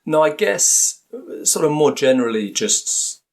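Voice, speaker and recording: A man's voice: a little high, very animated, unhurried. A British man speaking as a podcast guest, thoughtful and hesitant, often rephrasing as he explains. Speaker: interview guest, linguist and author. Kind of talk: conversational podcast interview.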